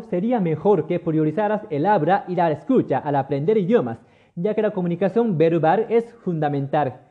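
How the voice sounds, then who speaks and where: A man's voice: high voice, phone-quality sound. A man from Japan with a Japanese accent, hosting a scripted solo podcast in an earnest, lightly humorous teaching tone. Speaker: podcast host. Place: lives in Mexico.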